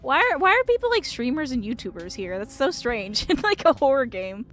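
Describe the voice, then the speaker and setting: Excitable, giggly woman streamer over music. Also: sits high, brisk. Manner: excitable, giggly, stumbles over unfamiliar words. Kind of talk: casual, reactive game livestream chat.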